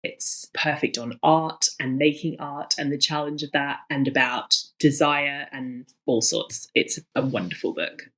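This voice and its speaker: Low-voiced, effusive woman in conversation. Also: a little slow, hardly any pauses, a bit bright, rough recording. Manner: effusive, emphatic, often repeats words for emphasis. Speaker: guest, cookbook author. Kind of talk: enthusiastic conversational interview.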